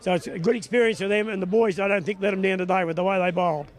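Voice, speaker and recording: A man with a high voice talks quickly, answering interview questions outdoors. His answers ramble along in plain, everyday words. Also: steady tone. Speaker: bowls region organiser.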